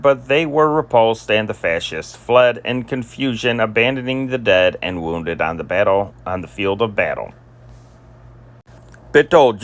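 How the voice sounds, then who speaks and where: Male amateur newsreader, mid-pitched, formal announcer delivery for scripted 1940s-era news bulletins. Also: a bit bright. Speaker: amateur newsreader and channel host. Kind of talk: scripted news reading of 1940s newspaper stories.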